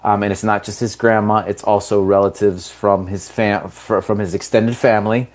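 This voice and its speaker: Low-voiced casual male film director. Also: level tone, moderate pace. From Canada. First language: Italian. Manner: casual, rambling with many fillers. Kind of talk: radio interview guest.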